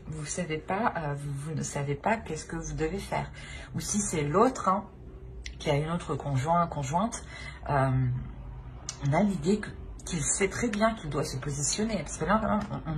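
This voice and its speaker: A woman's voice: low-pitched, expressive, moderate pace. A woman giving an improvised tarot reading, speaking directly to the listener in hesitant, repetitive phrases. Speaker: tarot reader. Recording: clear background noise.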